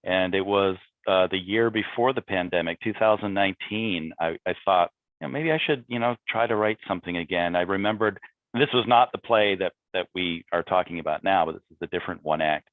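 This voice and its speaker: A mature man with a low voice speaks over a thin, band-limited online call. He chats in a reflective, self-deprecating way as a guest writer on a panel. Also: fairly quick, dry recording. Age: middle-aged or older. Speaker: amateur playwright and panel guest. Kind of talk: casual reflective chat. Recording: dull, narrow online-call audio.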